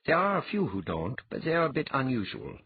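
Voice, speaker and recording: A husky-voiced audiobook reader voicing a sly older mentor with a dry, easygoing manner. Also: medium pitch, very animated, brisk, phone-quality sound, pitched above the performer's own voice, full character voice.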